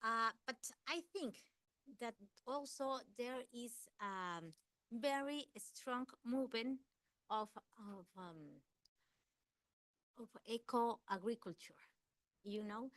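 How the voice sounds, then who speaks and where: A woman's voice: fairly high pitch, expressive, takes it slowly, a bit bright, no echo. A woman from Ecuador speaking English with an Ecuadorian Spanish accent, giving a seminar talk and answering questions earnestly, searching for words. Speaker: guest speaker, environmental campaigner.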